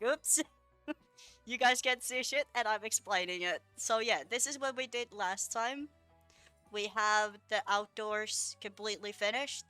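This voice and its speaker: A woman's voice: medium pitch, sharp tone, clear, resonant tone. A young woman who is a little sick, casually chatting and rambling with lots of fillers while building in a game. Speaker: gaming video creator. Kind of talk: casual gameplay commentary.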